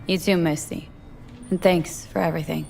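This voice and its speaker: A woman's voice: low-pitched, steady tone, normal speed, vocal fry, music in the background. A woman playing a weary, wry, street-tough mercenary in a video game, talking in a dry, casual, clipped way.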